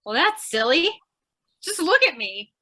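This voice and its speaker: A woman's voice: high voice, very animated, unhurried, bright, forceful tone, full character voice. A woman playing a scatterbrained, chatty, eager young lady reporter, earnest and theatrical, in old-time radio crime comedy style. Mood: eager, naive.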